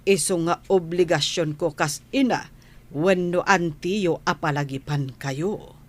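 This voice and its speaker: A woman playing a stern, protective auntie in an Ilocano radio comedy drama, admonishing and deliberate, with an Ilocano accent. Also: on the low side. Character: stern, protective auntie guardian of young women.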